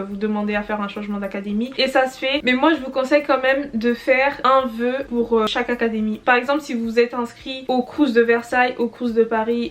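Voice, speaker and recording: A young woman vlogger talking viewers through an application step by step in a casual, chatty way, often pausing to hesitate and repeat herself. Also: fairly high pitch. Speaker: student vlogger. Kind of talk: casual step-by-step explainer to camera.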